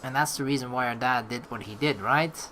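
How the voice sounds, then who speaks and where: A let's player reading game text aloud and giving casual, rambling, halting commentary as they think out loud. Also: medium pitch, slight background noise.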